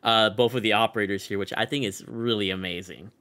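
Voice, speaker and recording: A man's voice: lowish voice, fairly even intonation, fast talker, pushed and bright, smooth. A young man hosting a gaming video with casual, hyped, slangy commentary. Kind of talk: casual commentary on a game showcase.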